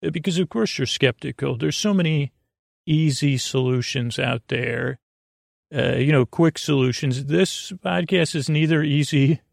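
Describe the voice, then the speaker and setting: Male bedtime-podcast host: creaky fry, dry sound, low-key meandering delivery with unfinished thoughts. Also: no room sound. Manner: meandering, halting, trailing off mid-thought. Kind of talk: rambling, casual bedtime monologue.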